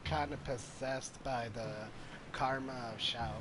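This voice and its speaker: A man's voice: mid-range voice, fairly even intonation, takes it slowly, noisy background. A man giving casual, musing and hesitant commentary as he streams a game playthrough. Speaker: gaming streamer. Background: game music.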